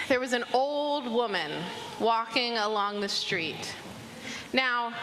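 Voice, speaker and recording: A woman telling a story to a gathering, expressive and deliberate, with dramatic pauses. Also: on the high side. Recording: reverberant hall, audible background noise.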